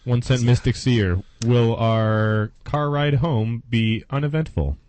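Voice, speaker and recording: A man's voice: medium pitch, slow, a little mellow. A man co-hosting a show in casual chat, with a playful, jokey manner. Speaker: co-host of a comedy chat show.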